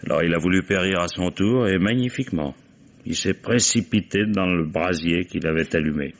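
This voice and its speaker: An actor playing a weary, shrewd and commanding police commissioner in a vintage French radio mystery, deliberate and musing. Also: male voice, low-pitched. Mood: reflective, explaining. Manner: deliberate questioning with musing interjections. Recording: dull vintage radio drama recording.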